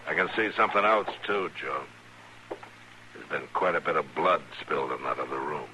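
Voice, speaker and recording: A very deep American male voice plays a calm lawman in a radio western. He is plain-spoken and quietly authoritative. The old recording is clearly noisy. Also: moderate pace, full character voice. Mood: calm, probing. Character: firm, calm frontier marshal.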